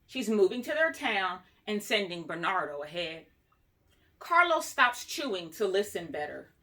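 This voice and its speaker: A woman reading a children's book aloud as an expressive storyteller, acting out the dialogue in an animated way. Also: medium pitch, a little slow. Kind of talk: expressive read-aloud narration. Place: small room at home, indoors.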